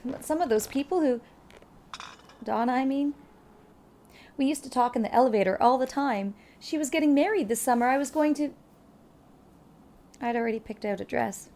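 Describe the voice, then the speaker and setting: High-voiced, shaken, hesitant woman. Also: dark tone. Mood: grieving, shaken. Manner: hesitant, halting, flustered. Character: shaken young-ish female secretary, traumatized bombing survivor, apologetic. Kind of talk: naturalistic audio drama acting.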